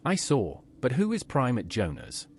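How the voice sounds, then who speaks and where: Man as inquisitive game hero, very animated pitch. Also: moderate pace, slight background noise. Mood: questioning. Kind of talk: scripted game dialogue, AI voiced.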